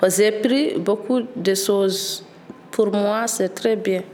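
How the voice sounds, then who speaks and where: A woman's voice: mid-range voice, slow pace, a little mellow, long reverb tail. A woman learning French, speaking in short, simple sentences in a testimonial. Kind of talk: spoken testimonial.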